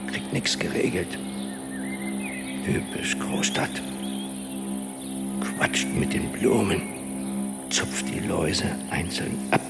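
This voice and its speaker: Radio play casting: nosy old grumbler, gravelly and very low, muttering with disapproval. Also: male voice, flat delivery, slow, long pauses, over loud music, exaggerated voice. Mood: disapproving. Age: older. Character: grumbling, nosy old male neighbour watching from his balcony. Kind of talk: radio drama inner monologue.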